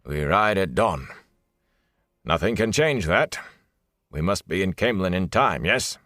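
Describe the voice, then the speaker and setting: A man voicing a gray-haired lord captain in an audiobook, mild and measured, with quiet authority. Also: sits low, lively intonation, no echo, studio quality. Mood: mild, commanding. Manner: mild, gentle, measured rebukes. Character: gray-haired lord captain, calm, mildly commanding older officer.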